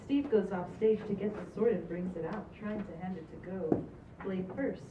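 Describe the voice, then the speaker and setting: A woman narrates screen directions at a live table read with an amused touch. She is heard from some distance in an echoey space, with clear background noise and a slightly dull sound. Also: mid-range voice, moderate pace, mellow. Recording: distant, echoey, muffled, noisy live recording.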